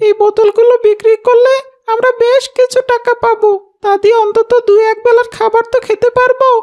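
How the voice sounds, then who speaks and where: An extremely high, small boy's cartoon voice , put on by a woman. The friendly little scavenger explains things matter-of-factly, with little rise and fall. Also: flat delivery, brisk, darkish tone. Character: friendly young street boy who scavenges plastic bottles. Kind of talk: cartoon voice acting.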